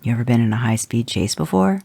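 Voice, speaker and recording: Gruff sarcastic hunter guy, man reading it in a dark gravelly voice. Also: mid-range voice, expressive, quick pace, no echo. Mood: dry. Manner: curt, sassing. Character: gruff adult male monster hunter, sardonic.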